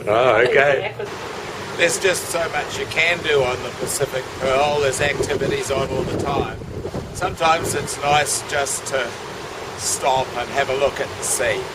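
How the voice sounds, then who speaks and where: A man's voice: mid-range voice, fairly even intonation, measured pace, heavy background noise. A man filming his cruise, chatting and joking as he interviews fellow passengers. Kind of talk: casual travel vlog narration.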